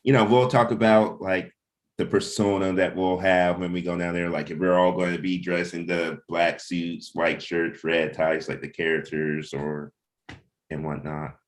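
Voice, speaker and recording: A man's voice: low-pitched, level tone, moderate pace. A man hosting a casual livestreamed team chat, speaking informally and a little hesitantly as he thinks aloud. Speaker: host and comic creator leading a cast meeting.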